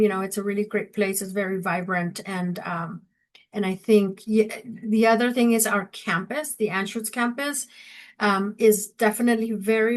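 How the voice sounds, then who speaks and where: A woman in her fifties from Mexico and Southern California, speaking informally and reflectively as a faculty panelist at an information session. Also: mid-range voice. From born in Mexico, grew up in Southern California. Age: 50s. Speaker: university faculty member on a program panel.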